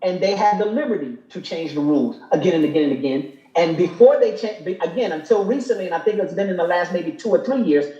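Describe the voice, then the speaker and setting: A man's voice: sits high, expressive, quick pace, hardly any pauses, mellow, roomy sound, dull audio. A man in his fifties on a live talk show, making emphatic points and tagging them with "okay?". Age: 50s. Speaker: guest.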